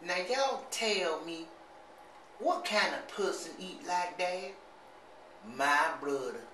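A rambling, gossipy country sibling, put on by a middle-aged man, drawls slowly. The voice is bright and a little pinched. It echoes around a small tiled bathroom, caught on a handheld camera, with a faint hiss underneath. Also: sits high, heavily acted. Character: slow, simple-minded gossipy country sibling. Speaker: comedy vlogger. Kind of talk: comic monologue to camera. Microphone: handheld camera at arm's length.

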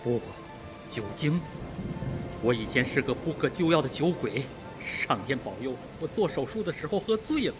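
A man's voice: on the high side, animated, normal speed, music in the background, close to an everyday voice. A man playing an overwrought, guilt-ridden doctor in a Mandarin radio mystery, fretful in manner. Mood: haunted, confessional. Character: panicky, guilt-ridden male doctor, a reformed drunkard.

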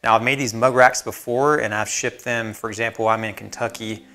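Relaxed man in a woodshop. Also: fairly low pitch, fairly quick. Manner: relaxed, plain-spoken, many fillers. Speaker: woodworking channel host. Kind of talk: casual how-to narration. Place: woodworking shop indoors. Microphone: lapel mic.

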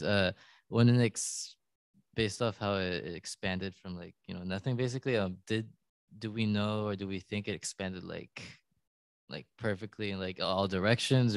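Deep gravelly man asking haltingly. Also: no room sound. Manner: halting, full of "like" and "um". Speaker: audience member asking a question. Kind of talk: hesitant spoken question.

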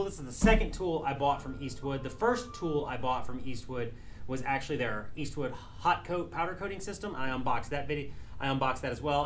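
Fast-talking young man in a workshop. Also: mid-range voice, a little hiss or hum. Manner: rambling, with restarts and self-corrections. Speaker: aircraft mechanic and channel host. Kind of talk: casual talk to camera. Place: indoor workshop classroom with benches and tool cabinets.

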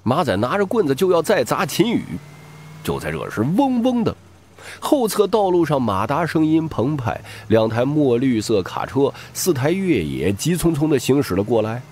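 A man's voice: mid-range voice, big pitch swings, moderate pace, darkish tone, a little hiss or hum. A man narrating a novel as a dramatic scripted audiobook.

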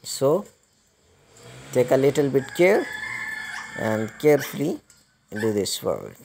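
A man giving step-by-step hands-on repair instructions in a halting, repetitive way. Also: medium pitch, sing-song, gravelly, darkish tone, a little hiss or hum. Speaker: repair tutorial presenter.

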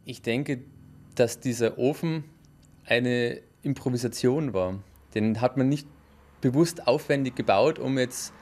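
A man giving an interview answer, thoughtful and explaining in halting phrases. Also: mid-range voice, darkish tone.

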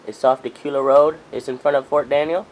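A young man speaking casually as a customer on a prank phone call, halting and repeating himself. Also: mid-range voice, fairly even intonation, darkish tone. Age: young adult. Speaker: prank caller, video host. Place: small room at home.

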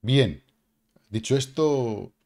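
A man's voice: medium pitch, animated, drawn-out words, mellow. A man in his fifties with a Peninsular Spanish accent, hosting a casual crypto explainer from a small room, chatty and emphatic with tag questions and mild swearing. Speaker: crypto channel host.

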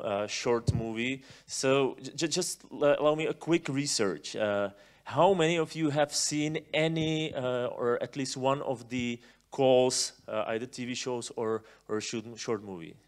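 A man in his thirties hosting on stage, introducing informally in halting, repetitive phrases. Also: mid-range voice, smooth. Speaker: festival host. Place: cinema stage, indoors. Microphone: handheld microphone.

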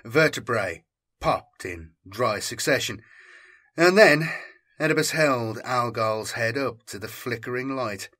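A British man reading dark science-fiction with a slow, weighty, dramatic delivery into a home studio microphone. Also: medium pitch. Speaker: audiobook narrator and channel host.

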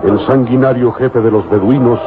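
A man's voice: medium pitch, normal speed. A man narrating an old radio serial in a solemn, theatrical, scripted style. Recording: muffled vintage radio recording. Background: loud dramatic music.

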